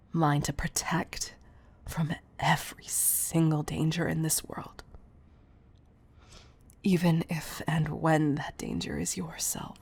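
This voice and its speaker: A woman playing a protective, doting CEO, tough but tender, in an intimate audio roleplay, husky and breathy, often close to a whisper. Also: deep voice, exaggerated voice. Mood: tender, solemn protectiveness. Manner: intimate, deliberate delivery with pet names.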